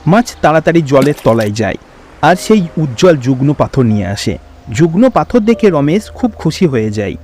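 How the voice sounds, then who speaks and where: A man's voice: a little high, a little mellow. A man narrating a scripted fairy tale for a cartoon as storyteller.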